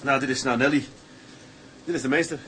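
An actor playing a hearty, affectionate young ship's mate in a radio play. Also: male voice, medium pitch, fairly even intonation, brisk, fairly bright tone, slight background noise, natural voice, rough recording. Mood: cheerful. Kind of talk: dramatised radio play acting.

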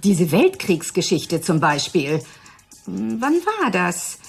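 Husky, sardonic media boss. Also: female voice, fairly low pitch, very animated, takes it slowly, soft background music, exaggerated voice. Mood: amused, sardonic. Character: cool, mocking, wealthy female media executive. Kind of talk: German radio drama acting.

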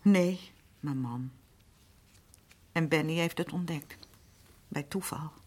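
Older working class mum in a radio drama, husky, kinda low, rambling and plaintive, confiding. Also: female voice, takes it slowly, a little mellow. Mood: confiding, bitter. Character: worn-down, chatty older working-class housewife and mother. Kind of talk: naturalistic Dutch radio drama acting.

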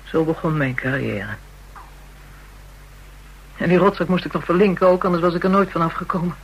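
A woman's voice: on the low side, moderate pace, dull audio, slight background noise, lightly acted. A woman playing a bitter, hard-bitten young call girl in a radio drama, coarse and defiant.

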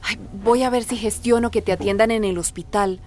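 A woman's voice: mid-range voice, steady tone, fairly quick, a little hiss or hum, lightly acted. An actress playing a doting, wistful mother in a radio soap, emotional and sighing. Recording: loud music behind.

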